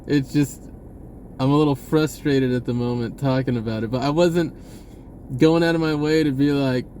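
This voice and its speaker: A man talking casually and off the cuff to viewers, halting and often repeating his words. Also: mid-range voice, fairly even intonation, dark tone, a little hiss or hum. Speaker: spiritual video blogger. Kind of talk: casual unscripted monologue to viewers.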